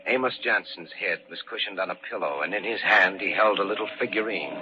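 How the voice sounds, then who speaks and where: Man acting a low, solemn horror-tale narrator. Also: noisy background, close to an everyday voice. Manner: solemn, measured storytelling. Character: grave, haunted man recounting a supernatural tale. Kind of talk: old-time radio horror narration. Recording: dull old radio recording with music.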